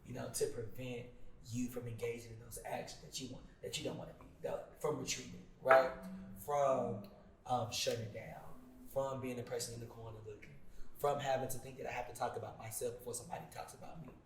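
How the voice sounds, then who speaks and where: A man's voice: mid-range voice, little pitch movement, a little fast. A man hosting a group discussion, posing questions to the participants as moderator. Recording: echoey room, distant mic, faint noise.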